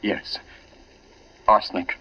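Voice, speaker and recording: An actor playing an anxious, grieving man who confides a dark suspicion in a halting, urgent, earnest way, in the style of 1940s radio suspense. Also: loud music behind the voice, natural voice. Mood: grave, confiding. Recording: muffled old radio broadcast.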